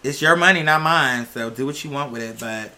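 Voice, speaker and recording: A woman's voice: a bass voice, brisk, sharp tone. A woman hosting a video, giving casual, blunt commentary to viewers with plenty of swearing and slang. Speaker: video host.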